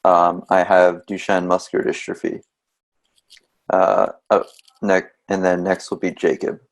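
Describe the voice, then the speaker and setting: A man's voice: deep voice, monotone, measured pace. A man in his twenties moderating a webinar, speaking hesitantly with ums and uhs. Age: 20s.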